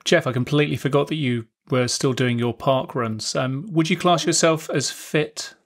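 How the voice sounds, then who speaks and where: Gravelly, measured male podcast co-host. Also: mid-range voice, over music. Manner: measured, hesitant, qualifying. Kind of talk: casual panel chat.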